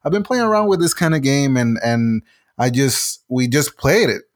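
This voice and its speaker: A man's voice: medium pitch, big pitch swings. Male game designer from Puerto Rico, Spanish first language; hesitant, restart-heavy delivery in a middle register. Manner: halting, many restarts and fillers. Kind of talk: casual interview answers.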